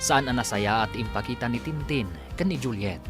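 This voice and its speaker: A man narrating a radio drama as its announcer, scripted and theatrical, with drawn-out title calls. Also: mid-range voice. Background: music.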